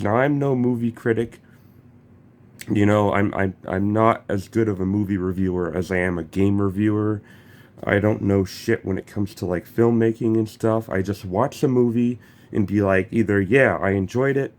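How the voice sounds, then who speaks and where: A man's voice: on the low side, moderate pace. A young man vlogging casually while sick with a cold, his throat congested; he swears freely and stumbles over his words. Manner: swears freely, stammers and restarts. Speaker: gaming YouTuber. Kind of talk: casual vlog commentary.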